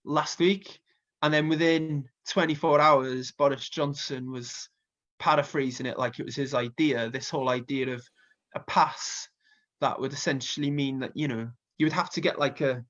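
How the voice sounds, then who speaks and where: A man's voice: medium pitch, fairly even intonation, moderate pace, fairly bright tone, highs cut off. A man with a Welsh accent and a slight cold, chatting casually and rambling with plenty of fillers as a festival booker being interviewed. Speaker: festival co-founder and music booker.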